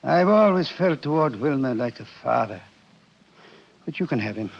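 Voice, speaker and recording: An actor playing a genteel, wordy older crime boss in a 1940s radio drama, courtly and jovially menacing. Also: male voice, medium pitch, expressive, moderate pace, creaky. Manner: florid, courtly, chuckling politeness. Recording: muffled 1940s radio broadcast.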